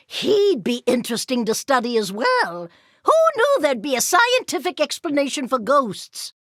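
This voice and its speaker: An elderly female inventor from an animated video game, fussy and endlessly curious. She speaks slowly and precisely in a high voice that rises and dips freely. Her manner is prim, chatty and motherly. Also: no room sound, studio quality, heavily acted. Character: fussy, curious elderly woman scientist, doting robot inventor.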